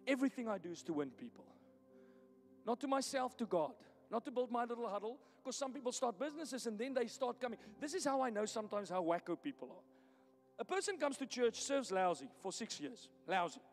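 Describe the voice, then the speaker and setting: A pastor with a South African accent and Afrikaans as his first language, preaching a blunt, teasing, emphatic sermon in a church hall. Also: very high-pitched. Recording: echoey hall with music.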